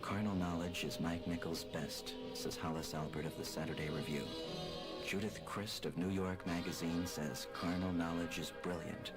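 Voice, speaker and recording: Deep-voiced male film promo announcer. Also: fairly even intonation, moderate pace. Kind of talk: scripted radio film promo narration. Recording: radio advert with loud music behind. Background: loud music.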